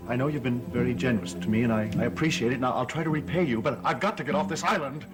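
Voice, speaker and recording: An actor playing a troubled, haunted painter in a gothic soap opera, speaking in a halting, strained manner. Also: male voice, mid-range voice, natural voice. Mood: haunted, agitated. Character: troubled, guilt-haunted portrait painter, anxious to escape. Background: dramatic music.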